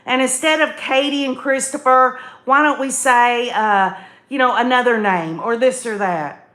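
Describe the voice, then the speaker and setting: A woman with a slightly high voice hosts an opinionated livestream. She talks straight to her listeners in an emphatic, folksy way, often repeating a phrase to drive it home. The room around her voice is clearly echoey. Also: unhurried, a bit bright. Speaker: livestream host commentator. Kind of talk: opinionated live commentary, reading viewer comments.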